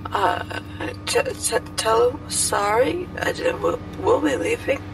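A woman voicing a timid, apologetic character who stammers and halts in a creaky voice. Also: fairly high pitch, expressive, distant mic, music in the background, heavily acted, low-quality recording. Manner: halting, stammering.

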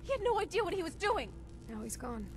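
An actress playing a tough, grieving young vault mechanic in a video game, speaking bluntly and emotionally. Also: female voice, extremely high pitch, very animated, fast talker, slight background noise. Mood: bitter, angry. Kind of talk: video game character acting.

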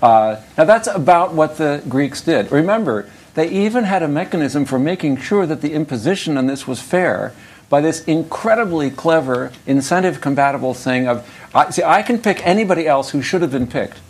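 A man's voice: on the high side, expressive, moderate pace, husky. An older man lecturing on economics in a conversational, digressive way, with wry asides. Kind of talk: academic lecture with Q&A.

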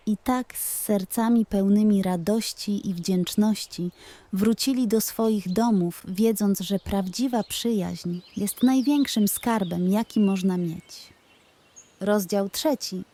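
A woman's voice: medium pitch, slow pace, dark tone, slight background noise. A woman narrating a scripted bedtime story for children.

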